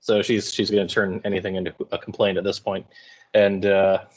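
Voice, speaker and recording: A man with a fairly low voice gives informal lecture commentary. He explains like an English teacher, putting things into colloquial everyday words. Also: little pitch movement, a little fast.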